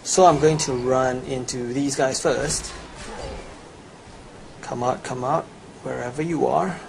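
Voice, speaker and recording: A young man narrates a video game guide as a scripted sales pitch. His voice sits at a middle pitch. There is audible noise in the recording. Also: fairly even intonation, slow pace. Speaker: gamer presenting a gold-making guide. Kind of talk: scripted promotional voice-over with game commentary. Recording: clearly noisy home recording.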